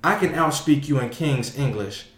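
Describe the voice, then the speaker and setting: A man co-hosting a podcast, with a deep voice and a profane, emphatic way of making his points. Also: animated, normal speed, reverberant. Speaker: podcast co-host. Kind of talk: casual chat.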